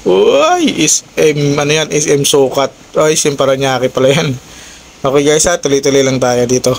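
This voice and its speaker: A man with a slightly high voice talks casually as a motovlogger. He is chatty and keeps turning to his listeners with short tag questions, as if talking with friends. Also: expressive, moderate pace, creaky. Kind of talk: casual vlog chat to viewers. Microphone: old cellphone, no intercom.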